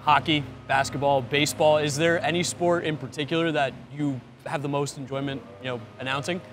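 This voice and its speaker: Very fast-talking, higher-pitched man. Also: steady tone, a bit bright, soft background music. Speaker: podcast co-host. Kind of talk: casual podcast chat.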